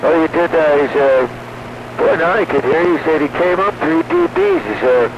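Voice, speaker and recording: A man's voice: fairly high pitch, big pitch swings, moderate pace, lo-fi sound. A man chatting casually about technical matters over two-way radio, explaining things insistently like a hobbyist telling stories. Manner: explanatory, insistent, tells anecdotes. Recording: noisy band-limited two-way radio audio.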